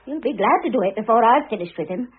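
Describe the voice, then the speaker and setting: A woman's voice: fairly high pitch, animated, quick pace, breathy edge. An actress playing a vain, grasping young society wife in her twenties in a classic radio drama, breezy and flippant. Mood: self-justifying. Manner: breezy, flippant, self-pitying. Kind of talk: classic stage-style radio drama acting. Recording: muffled narrow-band old radio recording.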